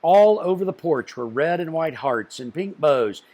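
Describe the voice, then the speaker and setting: A man reading a picture book aloud to children, expressively, with deliberate phrasing and stressed story beats. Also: a little high, animated, a little mellow.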